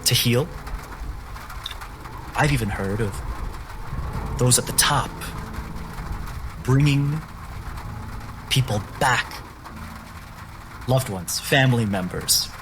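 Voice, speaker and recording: A man's voice: mid-range voice, slow pace, pauses often, background noise, lightly acted. A man voicing an ambitious, exhausted young nobleman who pleads his case. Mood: pleading. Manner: pleading, insistent. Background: music.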